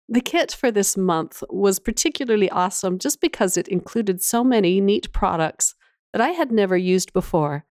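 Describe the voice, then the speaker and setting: A woman's voice: mid-range voice, a little mellow, no echo, studio quality. A woman hosting a casual craft tutorial voice-over, chatty and enthusiastic, her voice slightly off from a cold and cough. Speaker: craft channel host.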